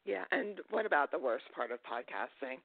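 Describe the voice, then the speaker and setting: A man's voice: fairly high pitch, lively intonation, a little fast, creaky, slightly rough, no room sound. A man hosting a podcast interview, asking questions in a chatty way and laughing between remarks. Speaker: podcast host. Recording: tinny phone-like line, dry.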